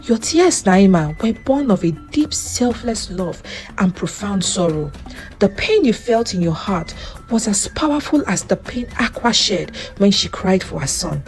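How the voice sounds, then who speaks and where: A woman's voice: medium pitch, sing-song, measured pace. Kindly, wise spirit mother played by a woman storyteller, soothing and melodic, music underneath. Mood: tender. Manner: gentle, soothing. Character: gentle, wise luminous female spirit mother.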